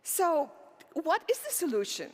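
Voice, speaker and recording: A woman's voice: very high-pitched, very animated, a little slow, darkish tone. A middle-aged woman from Romania giving an earnest conference keynote, posing rhetorical questions to the audience and answering them herself. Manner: earnest, with frequent fillers and restarts. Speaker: keynote speaker. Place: conference stage in a large hall. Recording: long hall reverb on stage.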